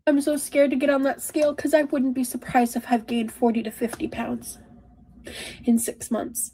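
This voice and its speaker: A woman streamer talking personally to camera in a halting, emotional, self-reproaching way. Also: high-pitched, flat delivery, dark tone, a little hiss or hum, poor audio. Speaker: streamer, in a clip being reacted to. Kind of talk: personal confessional talk to camera.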